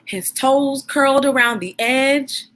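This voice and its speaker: Bright, high-voiced woman reading to children. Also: takes it slowly. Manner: encouraging, addresses young listeners directly. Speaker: read-aloud host and teacher. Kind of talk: expressive children's storybook read-aloud and chat.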